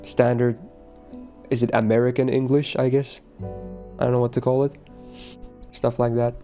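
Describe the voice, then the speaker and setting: A man's voice: mid-range voice, flat delivery, moderate pace, dark tone, faint music behind. A man from Finland with a slight Finnish accent, chatting casually with his audience while streaming a game. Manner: chatty, answers chat, self-aware asides.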